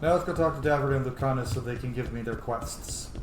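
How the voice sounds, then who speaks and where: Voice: mid-range voice, fast, noisy background. A streamer giving casual gameplay commentary, with gushing, sweary asides to the game. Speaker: streamer playing a roleplay game. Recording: roomy echo, game music behind. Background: video game music.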